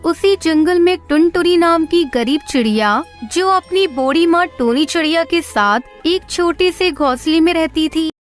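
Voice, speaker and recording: A woman's voice: very high-pitched, lively intonation, a little slow, few pauses. A woman narrating a scripted children's cartoon story. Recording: loud music behind voice.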